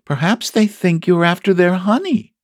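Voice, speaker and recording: A man's voice: sits high, lively intonation, normal speed, dry recording, clean studio sound, voice pushed up. A man voicing a small boy in a children's storybook, polite, curious and helpful, with simple questioning delivery. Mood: helpful, puzzled.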